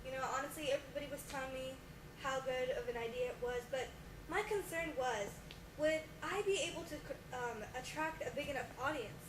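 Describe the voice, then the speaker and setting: A woman's voice: high-pitched, a little fast, lightly acted. A young woman playing an earnest scientist guest in a homemade mock talk show, explaining things, on distant, echoey, noisy audio. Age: young adult. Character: earnest female scientist and exhibit creator as guest. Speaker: interview guest.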